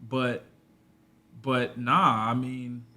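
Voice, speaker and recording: A man's voice: medium pitch, little pitch movement, drawn-out words, bright, forceful tone. A man in his twenties, a podcast guest, talking loosely and rambling in a casual conversation. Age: 20s. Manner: loose and rambling, full of "you know what I'm saying".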